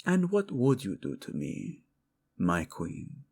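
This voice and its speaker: A male voice actor playing a devoted, possessive royal husband in an intimate romantic audio roleplay, with a husky low voice and formal, teasing speech. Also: huge pitch swings, exaggerated voice. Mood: flirtatious, yielding. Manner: slow, hushed, teasing, formal wording.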